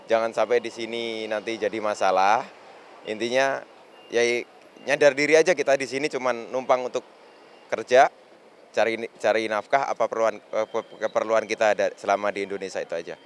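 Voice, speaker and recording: A man with a lowish voice answers a street interview hesitantly, pausing often and filling gaps. Faint outdoor noise sits behind him. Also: fairly even intonation. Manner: hesitant, halting, many fillers. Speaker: factory worker.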